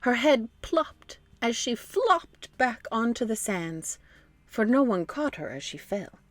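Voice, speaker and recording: Woman, storybook narration, animated intonation, mid-pitched, deliberate and expressive, dry recording. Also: no echo. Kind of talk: scripted children's storybook narration.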